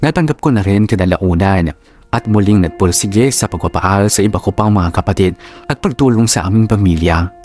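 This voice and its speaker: Man reading as reflective letter writer, Filipino accent, lowish voice, measured solemn narration, radio drama, music underneath. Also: darkish tone, lightly acted. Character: letter writer telling a family story.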